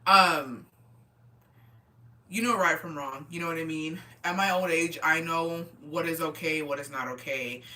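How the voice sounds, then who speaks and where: A woman's voice: low voice, a little slow, pushed and bright. A woman in her twenties hosting a casual livestream, tipsy, chatting with viewers in slangy talk with swearing and Spanish words mixed in. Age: 20s. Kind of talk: casual livestream chat, reading and answering comments. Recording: voice distant from the microphone.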